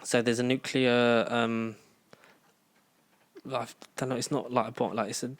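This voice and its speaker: A man's voice: mid-range voice, flat delivery, measured pace. Male podcast co-host, mid-range voice, rambling casual delivery. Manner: rambling, lots of "like" and "um". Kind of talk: casual chat.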